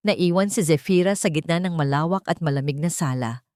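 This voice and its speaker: A woman's voice: low voice, fairly quick, a little mellow, no room sound, studio quality. A woman reading a scripted dramatic love story as its narrator.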